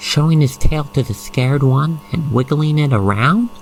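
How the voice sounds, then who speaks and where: An expressive narrator guiding the listener through an ASMR roleplay story in a gushing, sentimental manner. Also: mid-range voice, moderate pace, very noisy.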